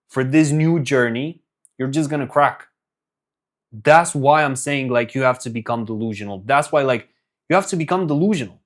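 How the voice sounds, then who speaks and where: A young man from Romania giving a casual, earnest motivational talk as a business coach, with frequent fillers and restarts. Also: mid-range voice.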